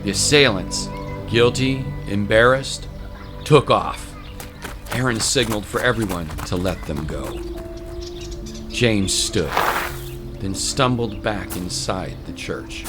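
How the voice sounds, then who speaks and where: A man's voice: fairly low pitch, slow, pauses often. A man narrating scripted dramatic fiction as a storyteller. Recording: music bed under the voice. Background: music.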